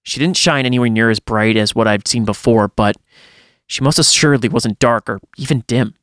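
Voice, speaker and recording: A man's voice: medium pitch, brisk, a bit bright, no echo, studio quality. A man reading a first-person horror story aloud in a dramatic storytelling style, as a podcast host would.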